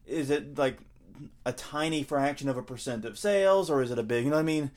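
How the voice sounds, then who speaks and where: A man's voice: medium pitch, fast talker, darkish tone. A man co-hosting a casual podcast, talking things over with frequent fillers and self-corrections. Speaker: podcast co-host.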